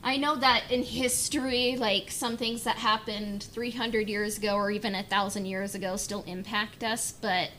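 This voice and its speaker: A woman's voice: mid-range voice, fairly even intonation, normal speed, hardly any pauses, fairly bright tone, poor audio. A woman chatting casually on a fan discussion podcast, informal and hedging, with illustrative asides. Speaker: podcast panelist. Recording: clear background noise.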